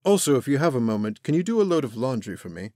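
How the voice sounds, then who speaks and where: A charming young prince acted by a man in a medium-pitched voice, recorded very dry. He is breezy, vain and self-assured, smoothly presuming on others as he reads out his note in a comic dub. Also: very animated, fast talker, warm tone, no echo, lightly acted. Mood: breezy, smug. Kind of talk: comic dub acting, reading a note aloud.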